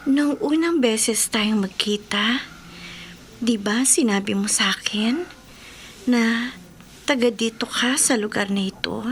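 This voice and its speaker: A mysterious, lonely admirer in a horror radio drama. Her high voice moves very slowly, hushed and softly seductive, over some background noise. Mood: soft, alluring. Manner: slow, hushed, breathy whispering delivery.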